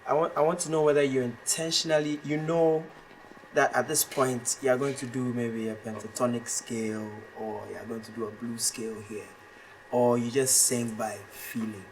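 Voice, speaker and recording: Male interviewer, mid pitch, curious tone, faint noise. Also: fairly even intonation, moderate pace, low-quality recording. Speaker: interviewer, team member. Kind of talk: asking questions.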